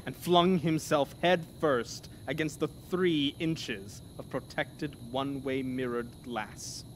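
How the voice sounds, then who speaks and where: A man's voice: mid-range voice, takes it slowly, heavy background noise. A man narrating a scripted horror story in a dramatic, theatrical manner.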